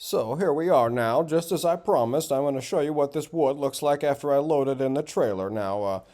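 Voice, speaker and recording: A man's voice: mid-range voice, a little fast, warm tone, no echo, studio quality. A man with a Southern US accent and a sore throat, rambling through a casual outdoor vlog with many uhs and restarts. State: sore throat, voice not back. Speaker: vlogger. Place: outdoors, windy wood lot.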